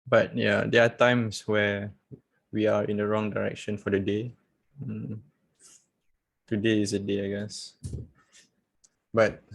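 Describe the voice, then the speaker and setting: A man teaching trading on a live video call, chatty and relaxed, laughing and talking straight to his viewers. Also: fairly low pitch, creaky. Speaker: trading coach. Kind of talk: casual live-stream trading lesson.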